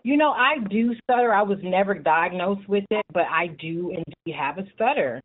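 A woman's voice: mid-range voice, animated, moderate pace. A middle-aged woman speaking earnestly and at length as a guest in a radio interview, with passionate conviction. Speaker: guest, youth support group chapter leader. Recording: narrow tinny phone-like line.

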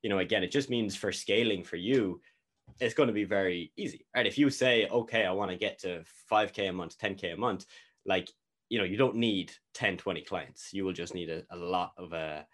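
A man with a very low voice chatting casually as host of an interview. He talks in a loose, easygoing way, restarting phrases and leaning on fillers. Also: normal speed. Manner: relaxed, repeats words and fillers.

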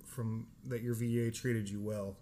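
A woman's voice: a bass voice, flat delivery, a little slow, warm tone, a little hiss or hum. A woman interviewing casually, mostly giving short backchannels and joking asides.

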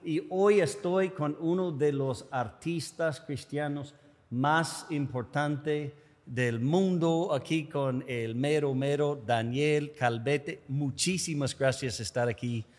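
A man's voice: a little high, slow, a little mellow, in a large hall. A man hosting an interview in Spanish with an American accent, English his first language, upbeat and jokey. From United States. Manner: jokey, upbeat, self-deprecating. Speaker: podcast host. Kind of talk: casual interview.

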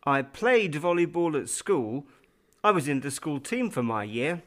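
A man reading scripted answers in an English lesson dialogue, relaxed and unhurried. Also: fairly high pitch.

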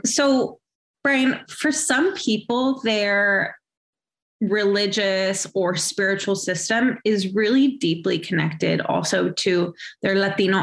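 A woman's voice: medium pitch, gravelly, a bit bright. A woman hosting an interview podcast, introducing her guest and asking questions in an encouraging manner. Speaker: podcast host.

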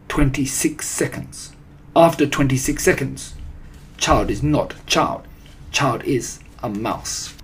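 A man's voice: medium pitch, breathy edge. A man voicing a disgusting, imperious old witch from a storybook, commanding and gloating in broken, foreign-sounding grammar. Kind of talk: storybook character voice.

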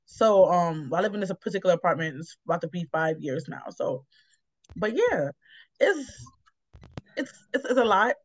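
A woman's voice: on the low side, big pitch swings, normal speed, no room sound. A woman chatting reflectively as host, explaining things in a rambling, encouraging way. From New York, United States. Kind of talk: casual reflective conversation. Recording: slightly dull, lo-fi, dry sound.

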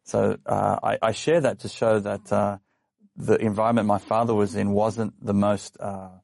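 Gravelly man telling his story. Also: on the low side, moderate pace, dry recording, clean studio sound. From Australia. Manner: relaxed storytelling with frequent fillers and small laughs. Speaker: guest. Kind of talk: casual interview chat. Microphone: studio microphone.

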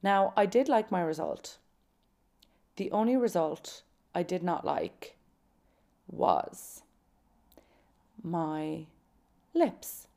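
A woman's voice: lowish voice, expressive, drawn-out words, creaky, long pauses, dark tone. A woman in her late twenties or so hosting a solo podcast, chatting candidly and casually, with self-mocking humor and dramatic emphasis. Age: late 20s or about 30. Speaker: podcast host. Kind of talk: casual solo podcast monologue, personal storytelling.

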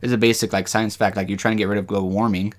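A man co-hosting a podcast, talking casually and reading from notes, with halting, rambling explanations. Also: fairly low pitch, little pitch movement. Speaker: podcast co-host. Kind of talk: casual discussion with some reading aloud from notes.

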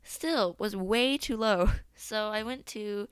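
Young woman host, casual and upbeat, laughs easily; smooth voice, dry close sound. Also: mid-range voice, slow, dry recording, low-quality recording. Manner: chatty, upbeat, laughs often, uses fillers like "um" and "like". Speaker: podcast host. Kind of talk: casual solo podcast chat about a song.

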